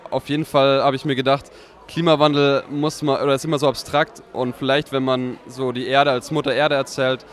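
A man in his mid-twenties with an ordinary mid-range voice who speaks calmly and thoughtfully. He is recorded outdoors in a city setting. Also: medium pitch, brisk. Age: 20s. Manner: calm, reflective. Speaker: film graduate.

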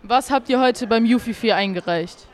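An interviewer with a mid-range voice, speaking in eager, slang-laden casual chat. The questions are quick and informal, recorded outdoors at an urban festival. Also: medium pitch. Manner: slangy, enthusiastic youth talk. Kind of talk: casual vox-pop interview.

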